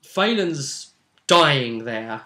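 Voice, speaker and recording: A man retelling a tabletop roleplay session as game master, in animated, emphatic conversational storytelling. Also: medium pitch, very slow.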